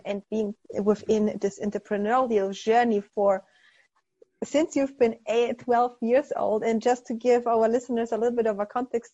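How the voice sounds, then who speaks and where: A woman's voice: a little high, dark tone, dull audio. A woman hosting a podcast interview, talking in an effusive, enthusiastic motivational way. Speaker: podcast host.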